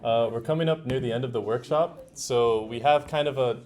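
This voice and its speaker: A young man leading a workshop, explaining software steps to a group in an informal, laid-back way. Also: medium pitch, fairly quick. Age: young adult. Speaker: workshop leader. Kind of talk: casual software tutorial to a group. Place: indoor room with a group at a workshop.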